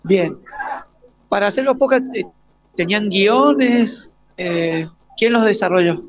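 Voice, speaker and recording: A man with an Argentine accent and a notably high voice conducts an informal interview. His questions come haltingly, restarting as he goes. The sound is very dry and slightly lo-fi. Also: expressive, no room sound. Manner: hesitant, halting questions with repeated starts. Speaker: radio interviewer. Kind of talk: informal live interview with students. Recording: slightly dull, lo-fi live broadcast.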